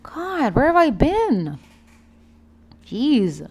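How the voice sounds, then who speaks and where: A young woman, giving a chatty, playful and expressive casual vlog voice-over. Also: high voice, drawn-out words. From Canada. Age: young adult. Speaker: vlogger, food reviewer. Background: background music.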